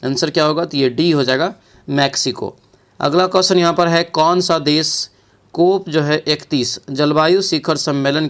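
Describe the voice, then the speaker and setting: A man with a slightly high voice works through a practice quiz as a teacher. He reads each question out, then gives the answer and repeats it so that it sticks. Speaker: exam prep teacher. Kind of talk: quiz walkthrough lesson, reading questions and giving answers.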